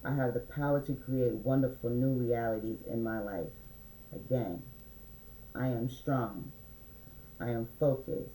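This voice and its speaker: Mellow-voiced man reading oracle cards. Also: mid-range voice, fairly even intonation, roomy sound, slight background noise, rough recording. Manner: loose and meandering, many fillers; slow, reads affirmations aloud. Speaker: spiritual reader.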